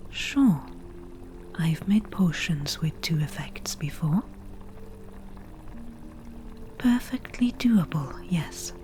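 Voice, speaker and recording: A woman playing a confident, slightly prickly forest witch brewing potions in a soft, breathy ASMR whisper. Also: low voice, expressive, faint music behind. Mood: self-assured. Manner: slow, unhurried, murmuring.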